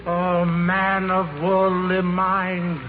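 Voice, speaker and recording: Solemn wailing ghost; high-pitched, very slow, narrow old radio sound. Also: male voice, monotone, slight background noise. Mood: solemn, mournful. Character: mournful, solemn ghost of a dead businessman. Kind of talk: 1940s radio drama acting. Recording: narrow-band old radio, long cavernous reverb.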